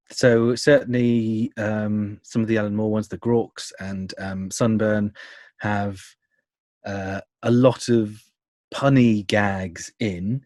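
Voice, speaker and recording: A man's voice: mid-range voice, a little mellow, no room sound, studio quality. A man talking enthusiastically in an interview as an audio producer, wordy and often rephrasing as he goes. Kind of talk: conversational interview about creative work.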